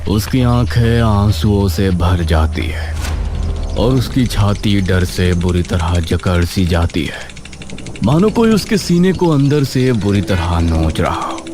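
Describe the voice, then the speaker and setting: A man narrating a scripted horror cartoon story in a slow, dramatic way. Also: on the low side, lively intonation, noisy background. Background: background music.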